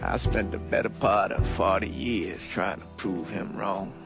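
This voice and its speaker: Slow, bitter elderly preacher. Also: male voice, sits low, gravelly. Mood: bitter regret. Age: older. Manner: deliberate, rueful, rhetorical. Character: weary elderly preacher, disillusioned, bitter, mournful. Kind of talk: solemn reflective monologue in a cinematic game. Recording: music behind the voice.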